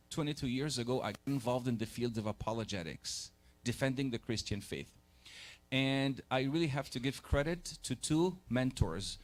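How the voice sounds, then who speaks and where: Egyptian-born man giving a church talk. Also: mid-range voice. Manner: conversational, pauses to rephrase, takes questions. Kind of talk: church lecture to a live group. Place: church hall.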